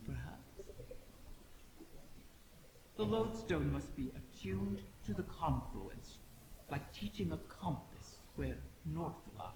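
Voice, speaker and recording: An actor playing a sardonic, menacing dragon with a mocking, theatrical, condescending manner in a video game cutscene. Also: male voice, a little high, vocal fry, exaggerated voice. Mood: smug condescension. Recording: long echoing reverb, hissy ambience.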